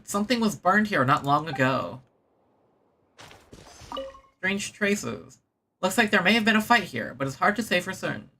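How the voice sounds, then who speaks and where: Bright, animated, unhurried game streamer. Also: mid-range voice, slight background noise. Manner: playful. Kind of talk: casual game commentary, reading text aloud. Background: game music.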